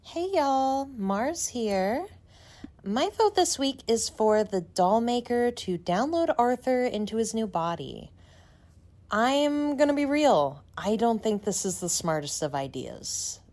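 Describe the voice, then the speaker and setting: A woman's voice: on the high side, animated, takes it slowly, a little mellow. A woman giving a prepared but conversational voice message as a listener, upbeat and playful.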